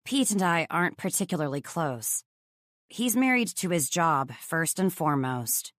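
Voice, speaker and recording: A woman's voice: sits low, expressive, normal speed, a bit bright, no echo, studio quality. A woman voicing an earnest, guarded young heroine in audiobook dialogue, sincere and a little unsure. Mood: matter-of-fact.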